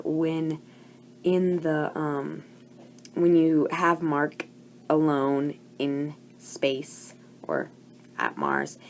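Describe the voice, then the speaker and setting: A woman with a hoarse voice from a cold, chatting and gushing in a casual book review vlog, full of "like" fillers. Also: low-pitched, darkish tone, slight background noise. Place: at home.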